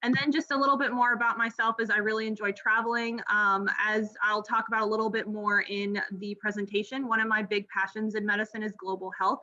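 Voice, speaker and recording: Bright, friendly woman physician in her thirties, relaxed talk with ums; slightly muffled recording. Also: mid-range voice. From Miami, Florida, United States. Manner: friendly, frequent ums and restarts. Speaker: pediatric gastroenterology trainee presenting. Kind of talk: informal presentation over slides.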